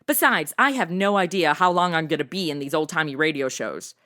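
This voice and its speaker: A woman's voice: sits low, expressive, brisk, a bit bright, soft background music. An actress playing a sassy, slangy modern young woman with a sarcastic streak in a comedy audio drama. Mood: wry.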